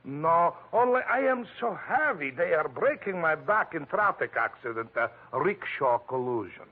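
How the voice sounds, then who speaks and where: A hulking, aggrieved Russian in an old radio detective play. His husky, highish voice swoops as he labours through broken English. The sound is narrow and vintage, like a phone line. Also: big pitch swings, unhurried, full character voice. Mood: earnest, aggrieved. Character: huge, heavy foreign man in a body cast, complaining. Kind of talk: 1940s radio detective drama acting. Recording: muffled, narrow-band 1940s radio recording.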